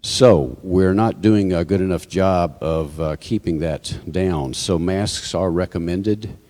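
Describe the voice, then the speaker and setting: A man's voice: low voice, takes it slowly, warm tone. A man giving informal church announcements to a congregation, with folksy joking asides. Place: church sanctuary, live service. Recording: hall reverb from sound system.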